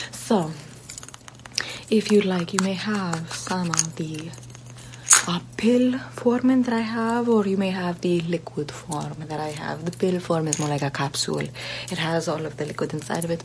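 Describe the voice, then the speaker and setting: A woman in an ASMR roleplay voicing a sly, flattering female poison seller in Renaissance Rome, with a soft whispery voice and calm menace, close to the mic. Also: lowish voice, close to an everyday voice. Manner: sweet-talking, with darkly calm menace. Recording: steady background hiss.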